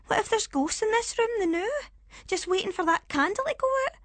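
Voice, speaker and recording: A woman's voice: very high-pitched, expressive, a little fast, fairly bright tone, no room sound, pitched above the performer's own voice. A woman voicing a timid, frightened little Scottish girl in a ghost story, anxious and plaintive. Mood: scared. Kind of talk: dramatised ghost story reading.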